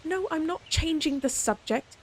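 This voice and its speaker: A proud lady knight, acted by a woman, with a fairly high voice and a stern, scolding manner. She talks straight to the listener as in an audio roleplay, exasperated yet secretly fond. There is some noise behind her. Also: expressive, normal speed, rough recording. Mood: defensive, haughty.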